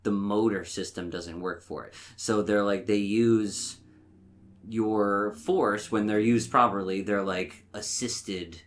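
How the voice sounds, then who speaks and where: Very low-voiced man, casual podcast talk. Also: steady tone, slow pace. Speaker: podcast co-host. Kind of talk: casual banter and anecdotes.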